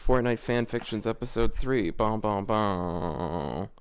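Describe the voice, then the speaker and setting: A man's voice: on the low side, slow pace, clear, resonant tone. A man hosting a show, reading fanfiction aloud casually and breaking off for amused, mocking asides. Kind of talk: casual reading with joking commentary.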